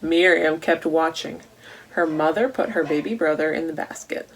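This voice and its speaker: A woman reading a Bible story aloud to children in a deliberate way, posing questions to young listeners as she goes. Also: low-pitched, husky, low-quality recording. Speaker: children's storytime reader at a church.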